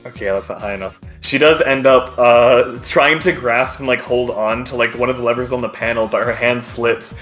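A man serves as game master, improvising scene descriptions in a halting manner. His pitch is average, and faint music plays behind him. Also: a bit bright. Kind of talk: improvised tabletop game narration.

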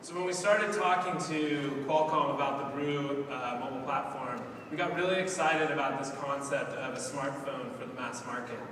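Upbeat man talking on a boomy stage. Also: normal speed, few pauses. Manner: upbeat, casual, with fillers. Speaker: guest speaker. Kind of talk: conference keynote talk. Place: large conference hall stage. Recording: echoey hall from far off with noise.

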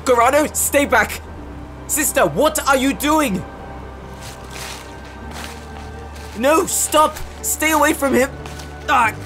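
A man's voice: very high-pitched, unhurried, music in the background. A man acting a wounded, devoted warrior brother in a dramatic fantasy audio roleplay, with a strained, emotive delivery. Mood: panicked, urgent.

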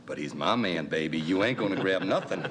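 A man's voice: low-pitched, expressive, fairly quick, a bit bright, a little hiss or hum. A man from Chicago, a guest actor trading playful, teasing wisecracks in talk-show banter.

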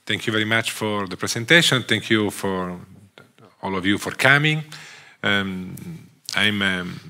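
A man with a strong Italian accent gives a lecture from a theatre stage, speaking into a headset mic. His voice is low but bright and forceful in tone. He pauses on fillers and restarts his phrases often. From Italy. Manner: halting, many fillers and restarts. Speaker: guest lecturer. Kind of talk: academic lecture.

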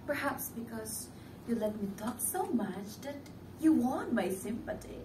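Actress as a high, animated, probing woman on stage. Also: measured pace, noisy background. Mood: reflective, flattering. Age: young adult. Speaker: stage actor. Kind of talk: theatrical one-act play dialogue. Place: indoor stage set with living-room furniture. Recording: roomy stage, distant mic, music underneath.

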